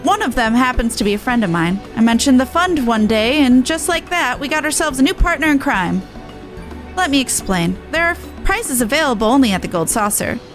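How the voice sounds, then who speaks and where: Woman playing a high-voiced, blunt resistance soldier. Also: lively intonation, fairly quick, faint music behind. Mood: playful, businesslike. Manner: earnest, blunt, rough-and-ready phrasing. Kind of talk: game dialogue voice-over.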